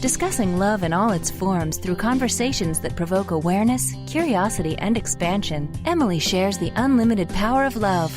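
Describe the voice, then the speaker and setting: A woman reads a scripted radio show promo in a mid-range voice. Music plays clearly behind the read. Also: mid-range voice, lively intonation, normal speed, hardly any pauses. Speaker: ad announcer. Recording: voice over music bed.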